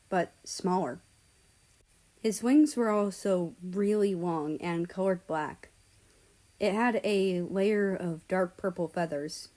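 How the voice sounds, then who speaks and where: Slow-paced woman reading a story. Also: on the low side, mellow. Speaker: audiobook-style reader of fan fiction. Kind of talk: scripted first-person story narration.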